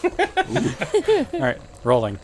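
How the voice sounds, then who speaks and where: A man's voice: very high voice, wildly swooping pitch, extremely slow, darkish tone, slight background noise. A man playing a tabletop role-playing game, talking casually as he works through his moves.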